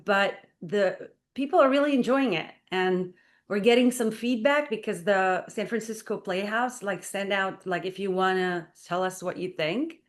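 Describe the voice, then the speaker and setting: Earnest woman guest on talk radio. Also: mid-range voice. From Israel. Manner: earnest, uses many fillers like "like" and "you know". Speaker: guest, playwright and performer. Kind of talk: radio interview. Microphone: studio microphone.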